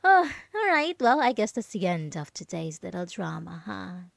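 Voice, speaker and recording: A woman playing a smug, possessive, manipulative yandere roommate in an ASMR roleplay, taunting deliberately and at times slipping into a whisper. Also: lowish voice, very animated, vocal fry, no echo, clean studio sound, full character voice. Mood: mocking, smug. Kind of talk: ASMR roleplay monologue, acted.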